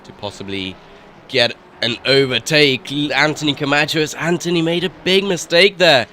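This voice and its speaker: A man commentating a sim racing broadcast live, sounding nasal from a cold, rising in excitement at overtakes. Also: on the high side, slight background noise. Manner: excited rises at overtakes, quick asides. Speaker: race commentator.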